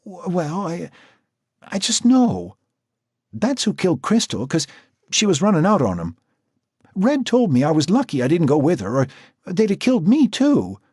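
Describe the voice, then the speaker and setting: A man voicing a frightened teenage runaway girl who stammers and sobs in a high, trembling voice. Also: wildly swooping pitch, moderate pace, pauses often, a little mellow, husky, dry recording, studio quality, heavily acted. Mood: tearful.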